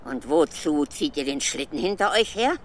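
A woman playing a gruff old witch-like forest woman in a children's radio play, scolding, suspicious and haughty. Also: deep voice, lively intonation, moderate pace. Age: older. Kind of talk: children's radio drama acting.

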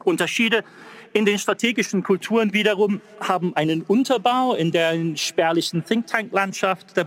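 A man with an English accent, a native English speaker, delivering a formal prepared lecture in a measured academic manner to a live audience. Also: on the high side, a bit bright.

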